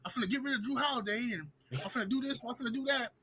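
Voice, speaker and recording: A man's voice: extremely high pitch, very fast, pushed and bright, a little hiss or hum. A man giving his opinions on a sports stream, emphatic and calling people out directly.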